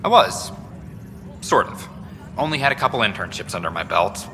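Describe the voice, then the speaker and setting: Earnest, bright-voiced young reporter in echoing hall. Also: male voice, lowish voice, sing-song, moderate pace. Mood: rueful. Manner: chatty, wry, self-deprecating. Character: overworked, earnest young male space-colony news reporter. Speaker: news reporter. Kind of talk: video game dialogue. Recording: reverberant hall with background noise.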